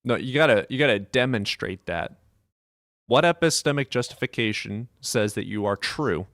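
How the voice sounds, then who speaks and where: A man's voice: medium pitch, expressive, moderate pace, fairly bright tone, dry recording, clean studio sound. A man hosting a live stream debate, blunt and impatient, cutting in on callers. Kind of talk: combative debate.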